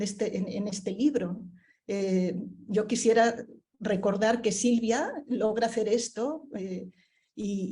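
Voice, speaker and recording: Thoughtful woman with a mellow voice. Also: on the high side. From Spain (Barcelona). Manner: thoughtful, searching for words, with frequent fillers and tag questions. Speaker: book presenter, panelist. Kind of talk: book presentation talk.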